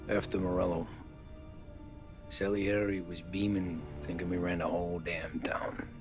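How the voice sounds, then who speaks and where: A low-pitched American man as a world-weary gangster in a cinematic game. He is brooding, plain-spoken and hardboiled. Music plays clearly behind him. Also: little pitch movement, unhurried. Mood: brooding, confessional. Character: weary, loyal young mobster and former cab driver. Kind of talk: cinematic video game crime drama acting.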